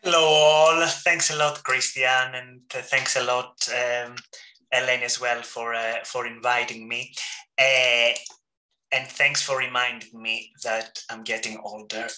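A man's voice: medium pitch, level tone, measured pace, bright, forceful tone, reverberant, low-quality recording. A man in his thirties with a Greek accent, giving a friendly, joking academic talk over a video call with many ums and uhs. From Greece. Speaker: seminar speaker. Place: home room, indoors.

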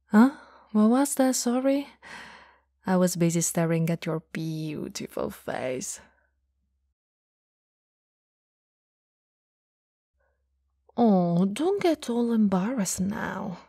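A woman performs a playful, teasing goth older sister in a romantic ASMR roleplay. Her voice is dark and husky with breath, often slipping toward a whisper. She sits very close to the mic in a dry, intimate recording. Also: medium pitch, very animated, slow pace, no echo, full character voice. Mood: flirty, teasing. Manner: soft, breathy, drifting into whispers. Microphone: close, dry studio mic.

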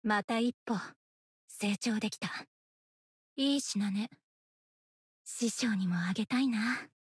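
A woman's voice: medium pitch, slow pace, husky, no room sound, clean studio sound. A woman voicing a devoted young female ninja in an anime game, earnest, terse and possessive of her master. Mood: quiet, earnest. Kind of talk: Japanese anime game character voice lines.

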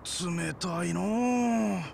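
An actor playing an easygoing, teasing baseball captain in a video game, folksy and drawling with a Hiroshima Japanese accent. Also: male voice, high voice, drawn-out words, creaky, a little mellow, a little hiss or hum, exaggerated voice. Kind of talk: video game cutscene acting.

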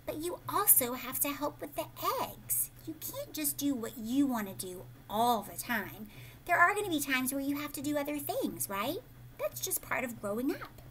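Woman reading as a young girl bunny, firm and emphatic, resourceful, fairly high voice, storybook, some background noise. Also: big pitch swings, normal speed, fairly bright tone, low-quality recording. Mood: firm, encouraging.

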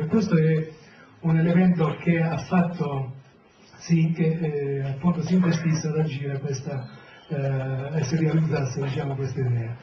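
A Sicilian man, a town mayor, giving a hesitant public speech full of fillers. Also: fairly high pitch. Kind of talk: public speech at a press event. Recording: roomy, distant, dull, noisy.

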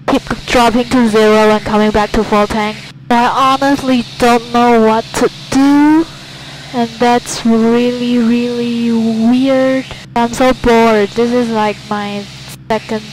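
A woman's voice: on the high side, rough recording. A young woman, a student pilot, talking casually to herself and reciting checklists as she flies. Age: young adult. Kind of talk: casual self-talk while flying, reciting checklists. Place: small airplane cockpit in flight. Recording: steady cockpit engine noise.

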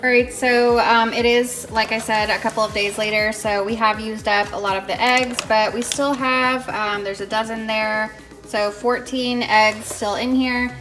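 A young woman casually narrating her meal prep in a home kitchen, talking in a relaxed way with lots of ums and restarts. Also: on the high side, unhurried, over music. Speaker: cooking vlogger.

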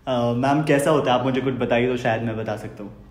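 गूँजते कमरे में विनम्र युवा आदमी. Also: male voice, mid-range voice, fairly even intonation, brisk. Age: 20s. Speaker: prank caller posing as quiz host. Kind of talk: mock-formal phone quiz. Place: small home room, indoors. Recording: roomy indoor echo.

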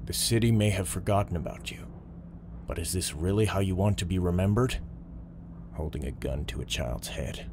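An actor playing a calm, earnest police officer in an audio drama, negotiating in a measured, pleading way. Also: male voice, low voice, background noise.

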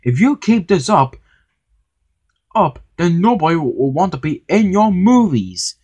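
A man voicing an indignant, fiercely loyal steam engine in a children's story, protesting forcefully with some stammering. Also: a little high, big pitch swings, measured pace, slightly rough, no echo, full character voice.

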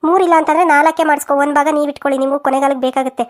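A woman's voice: high voice, fairly even intonation, rapid-fire, lightly acted. A woman voicing a frank, protective older village aunt in a cartoon family drama, emphatic and colloquial, with a rural southern Karnataka accent. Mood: earnest, urging.

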